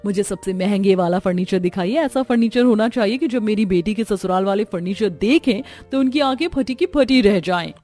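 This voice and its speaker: Lady doing a snobby boastful mom in a cartoon, bossy, mid pitch. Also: a little fast, hardly any pauses, dark tone, lightly acted. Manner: showy, imperious. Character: boastful, haughty mother, scornful of her stepdaughter.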